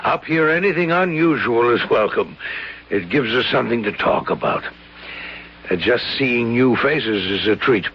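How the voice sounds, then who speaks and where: An actor playing a genial, courtly old colonel who loves spinning legends in a radio drama. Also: male voice, medium pitch, expressive, lightly acted. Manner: unhurried, courtly, ominous storyteller. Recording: dull, noisy old radio broadcast.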